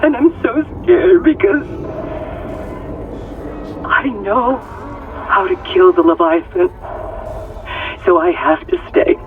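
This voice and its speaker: Earnest female space scientist, game audio log. Animated intonation, thin comms quality, clear musical backing. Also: mid-range voice, slow pace, long pauses, narrow, tinny audio, faint music behind. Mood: grieving, resolute. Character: committed female hydroponics scientist facing deadly disaster.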